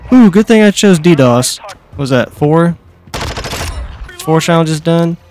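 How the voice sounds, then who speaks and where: A young man with a slightly nasal voice from a cold, casually commenting on his own gameplay, muttering one moment and shouting excitedly the next. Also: fairly high pitch, slow, a little hiss or hum. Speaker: gaming streamer. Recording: voice over loud gunfire game audio.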